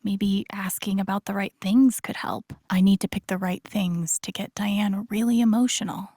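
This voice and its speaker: A woman's voice: mid-range voice, steady tone, normal speed, warm tone, dry recording, studio quality, natural voice. A woman playing an earnest, wry, vulnerable young video game heroine, in an introspective, understated inner-monologue style. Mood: focused, determined. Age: young adult.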